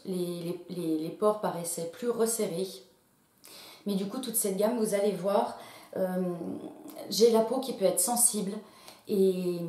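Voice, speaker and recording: A woman's voice: medium pitch, mellow, roomy sound. A woman in her late thirties giving a casual beauty product review, chatty and full of small fillers and restarts. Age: late 30s. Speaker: beauty vlogger.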